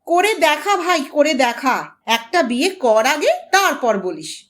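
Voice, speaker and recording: A woman's voice: high-pitched, big pitch swings, takes it slowly, fairly bright tone, full character voice. A woman voicing a thrifty village elder brother in an audio story, questioning and incredulous. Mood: goading.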